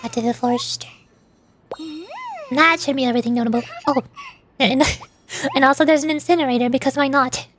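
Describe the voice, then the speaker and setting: High-voiced woman chatting casually on stream. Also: fairly quick, long pauses, a bit bright. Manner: chatty, explanatory, playful asides. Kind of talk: casual rambling live-stream commentary.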